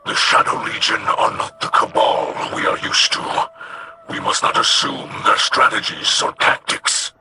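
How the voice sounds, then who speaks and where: An actor playing a grave, honorable alien warrior in a video game, speaking formally in a breathy, growling rasp. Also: male voice, unhurried, background noise, natural voice. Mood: solemn warning. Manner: solemn, formal speech without contractions. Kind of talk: scripted video game dialogue. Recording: music behind the voice.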